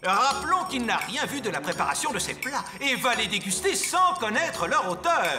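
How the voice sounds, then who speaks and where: An actor playing a grandiose, excitable cooking contest announcer in a cartoon, building suspense in an echoey space with music behind. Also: male voice, very high-pitched, moderate pace. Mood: grand, ceremonial.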